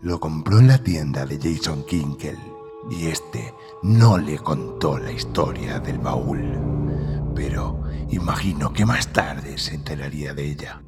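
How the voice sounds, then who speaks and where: A man playing a gruff, knowing haulier in an audio drama, speaking in a terse murmur. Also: a bass voice, lively intonation, unhurried, full character voice. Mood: gruff, confiding. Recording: loud music under the voice.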